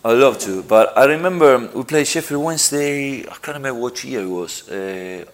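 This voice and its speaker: A middle-aged man from Argentina answering interview questions, halting and repeating himself, with lots of "you know". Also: medium pitch. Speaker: interviewed footballer.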